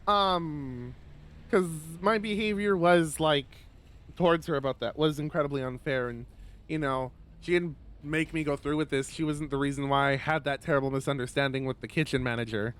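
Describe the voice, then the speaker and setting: A man with a somewhat high voice reads a visual novel aloud in a casual streaming style. He is expressive and conversational, slipping easily between narration and rambling personal asides. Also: normal speed, smooth.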